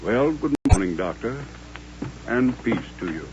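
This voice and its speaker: Courtly old colonel, muffled radio recording. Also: male voice, on the low side, lively intonation, background noise. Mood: calm, courteous. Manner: formal, courteous, measured speech. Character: proud, devout old colonel, courtly and calm. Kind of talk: old-time radio western acting.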